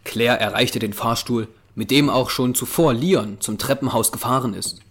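A man narrating a scripted horror audio drama in German, with measured storytelling delivery. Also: mid-range voice, fairly bright tone, husky. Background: music.

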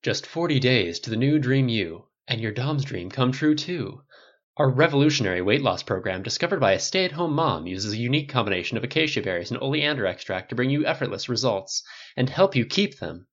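A slick infomercial announcer, as a man puts him on, stretching every promise out in a syrupy sales drawl. His pitch swings freely. The sound is slightly muffled. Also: expressive, brisk, a bit bright. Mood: salesy.

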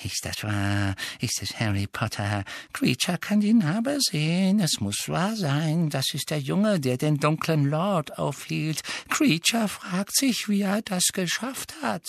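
Muttering, servile elf, pitched high. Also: male voice, sing-song, slow pace, gravelly, hardly any pauses, dry recording, clean studio sound, heavily acted. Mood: muttering wonder. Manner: muttering asides, speaks of himself in third person. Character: servile, bitter old male house-elf, muttering resentfully.

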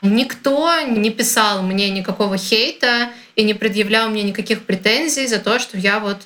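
A young woman from Russia chatting casually as a guest, lively and informal with plenty of slang. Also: mid-range voice, moderate pace. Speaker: guest, content creator. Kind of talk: casual conversation.

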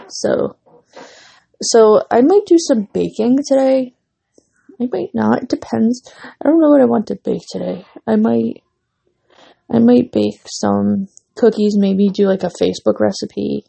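A woman's voice: fairly high pitch, unhurried, gravelly, pauses often, mellow, breathy edge. A young woman hosting a casual solo podcast, rambling with many fillers and restarts while she is losing her voice and has a runny nose. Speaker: podcast host.

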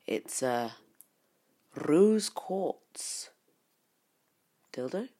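A woman's voice: sits low, lively intonation, extremely slow, warm tone. A woman hosting a podcast, introducing guests in chatty, eager, rambling talk. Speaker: podcast host. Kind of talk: casual chat.

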